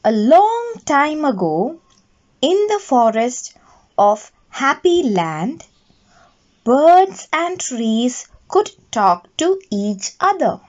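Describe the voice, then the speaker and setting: A woman reads a bedtime story for children at a very slow, careful pace. Her voice is fairly high and swings widely in melody. She phrases deliberately and expressively, as in a read-along, on a slightly dull recording. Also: sing-song, a little mellow. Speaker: storyteller reading aloud.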